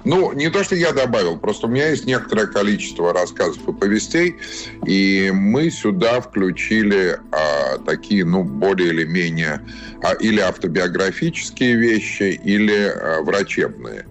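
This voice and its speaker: A man being interviewed as a writer, answering off the cuff in a halting way, searching for words. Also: sits low, big pitch swings, faint music behind. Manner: halting, searching for words, frequent hedging phrases.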